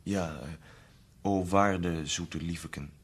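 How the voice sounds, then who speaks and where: An actor playing a sick, despondent poet in a radio play, hoarse and coughing, with a breathy, raspy voice, speaking in halting, hesitant bursts. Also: male voice, low-pitched, fairly even intonation, heavily acted. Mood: weary, evasive. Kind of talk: 1970s radio drama acting.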